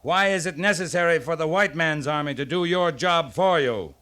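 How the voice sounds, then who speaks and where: An actor playing a stern, shrewd US cavalry captain with a commanding, measured manner, in a 1950s radio western. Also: male voice, a little high, sharp tone, clear, resonant tone. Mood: scornful, pressing. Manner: commanding, measured, pointed questioning.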